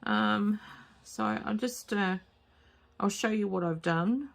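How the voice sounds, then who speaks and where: Slow, croaky woman crafting at home. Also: medium pitch. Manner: hesitant, many fillers, soft-spoken. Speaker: crafting channel host. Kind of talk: casual craft tutorial. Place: home craft table, late at night.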